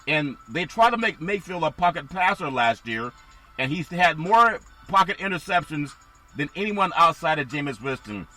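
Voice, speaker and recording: A man hosting sports talk with a bright, forceful edge to his voice. It sounds a touch scratchy and raspy today. He rambles through team after team and keeps asking his partner for his thoughts. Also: a little high, lively intonation, normal speed, soft background music. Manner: rambling, asks co-host for thoughts.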